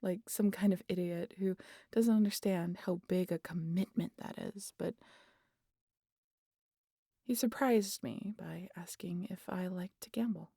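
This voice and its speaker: A woman playing a wry, guarded vampire who teases and confides in an intimate audio roleplay, casual and sweary, often sinking to a breathy near-whisper. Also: fairly low pitch, unhurried, dark tone, no room sound, studio quality, full character voice. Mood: nostalgic, wry. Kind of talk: intimate audio roleplay monologue addressed to the listener.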